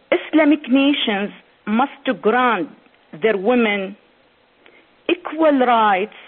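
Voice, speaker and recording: A woman from Syria, giving a prepared conference speech as an author, delivering it slowly phrase by phrase. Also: a little high. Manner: slow, deliberate, phrase-by-phrase delivery. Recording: tinny phone-like sound.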